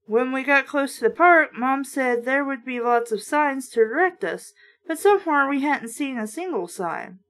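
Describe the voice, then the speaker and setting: A woman's voice: high-pitched, fairly bright tone. A woman hosting a casual book read-aloud, breaking off into sarcastic running commentary. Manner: sarcastic asides. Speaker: host of a book review series.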